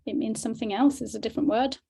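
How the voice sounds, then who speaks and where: A woman's voice: on the high side, fairly even intonation, racing, gravelly, mellow, husky. A woman co-presenting a language question-and-answer session as a teacher, speaking in a chatty, informal, demonstrative way.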